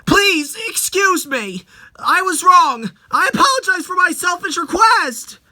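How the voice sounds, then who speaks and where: A man's voice: very high voice, very animated, unhurried, sharp tone, pitched above the performer's own voice, exaggerated voice. A man voicing a timid, pleading young wife in a dramatic reading, earnest and imploring. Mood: desperate, apologetic.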